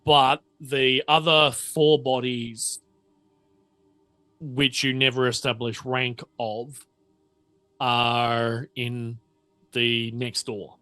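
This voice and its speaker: A man narrating a tabletop RPG as game master, informal and halting in his delivery. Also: medium pitch, flat delivery, sharp tone, no room sound, soft background music.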